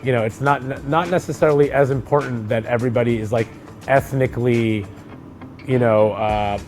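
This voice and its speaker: A middle-aged man in casual conversation, an actor talking loosely and relaxed, with many fillers and restarts. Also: mid-range voice, normal speed, faint music behind. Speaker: actor co-hosting a chat.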